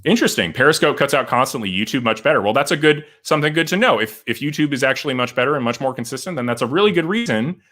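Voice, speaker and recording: A man hosting a livestream, giving legal commentary and answering viewer comments, often halting and restarting as he thinks aloud. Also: mid-range voice, lively intonation, fairly bright tone. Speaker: lawyer and show host.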